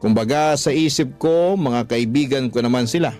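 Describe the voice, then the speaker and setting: Man, medium pitch, radio host, scripted dramatic narration, background music. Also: moderate pace, a little mellow. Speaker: radio host reading listeners' letters. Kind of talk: dramatic scripted first-person story narration. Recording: music under the voice.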